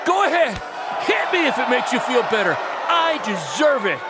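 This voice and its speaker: A man's voice: very high-pitched, big pitch swings, normal speed. A man playing a guilty, fatherly wrestling promoter in a video game, pleading with great passion. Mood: desperate pleading. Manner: pleading, impassioned. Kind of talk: video game cutscene acting. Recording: shouted over noisy arena crowd.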